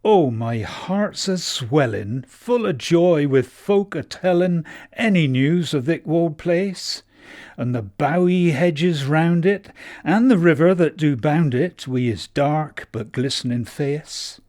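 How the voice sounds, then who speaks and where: A man's voice: fairly high pitch, lively intonation, takes it slowly, a little mellow, no room sound, clean studio sound. A man with a Dorset accent reciting dialect poetry. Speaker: poetry reader, literary society member.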